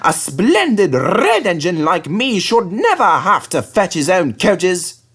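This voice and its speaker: Storybook role: rude, boastful red steam engine, pitched high and huffing impatiently played by a man. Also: very animated, slow, a bit bright, slightly rough, heavily acted. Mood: sulky, boastful. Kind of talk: storybook character voice.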